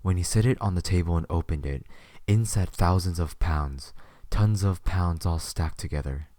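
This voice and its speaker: A man's voice: low voice, normal speed, mellow, no room sound. A man reading scripted third-person story narration as the narrator of an audio drama.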